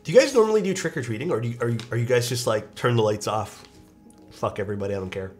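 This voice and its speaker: A man's voice: medium pitch, animated, very fast, soft background music. Stuffed-up nasal man streaming. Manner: chatty, reads chat aloud, swears casually. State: stuffed-up nose. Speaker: keyboard-building streamer. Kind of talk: casual live-stream chat.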